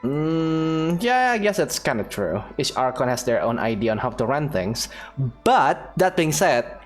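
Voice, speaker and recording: A woman with a very deep voice chats along to a video game. She sounds casual and amused, with game music clearly behind her. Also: sing-song, unhurried. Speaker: gamer reacting to game dialogue. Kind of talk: casual chat, role-playing along with a game.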